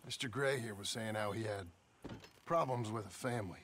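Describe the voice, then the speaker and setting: An actor playing a cocky, glib outlaw dealmaker in a game, speaking in a folksy way with an American accent. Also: male voice, fairly low pitch, normal speed, a bit bright, a little hiss or hum, close to an everyday voice. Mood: ingratiating. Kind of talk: dramatic game cutscene acting.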